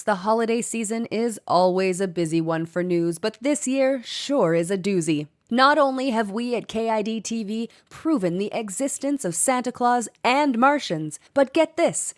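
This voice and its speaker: An actress playing an earnest, chirpy local TV news reporter in an audio drama, with a folksy presenting manner. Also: female voice, a little high, no echo, studio quality, natural voice.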